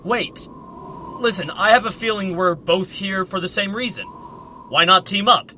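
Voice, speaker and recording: A man's voice: sits high, unhurried, pushed and bright, slight background noise, full character voice. A man playing a chatty, friendly, teasing stone warrior with eager banter in an amateur audio drama.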